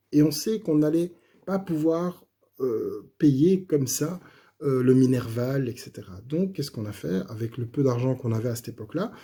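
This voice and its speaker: Hoarse, mellow-voiced man giving testimony. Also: mid-range voice. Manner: hesitant, many fillers and restarts. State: slightly hoarse. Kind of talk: personal testimony, spoken casually.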